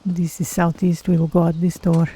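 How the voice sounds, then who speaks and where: A gentle, musing cat-folk adventurer played by a streamer with a dark, mellow, mid-pitched voice. The phrasing is deliberate and formal, like a weary traveler thinking aloud in a fantasy game. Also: completely flat delivery, slight background noise, lightly acted, rough recording. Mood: musing, calm. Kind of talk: in-character game roleplay.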